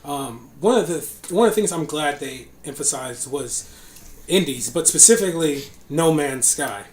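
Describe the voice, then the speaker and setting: A man's voice: a little high, lively intonation, moderate pace, a little mellow. Young guy hosting a podcast, rambly and laughing a lot, echoey room. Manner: laughs often, rambling with fillers. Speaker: podcast host. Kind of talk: casual podcast chat. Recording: echoey room, faint noise.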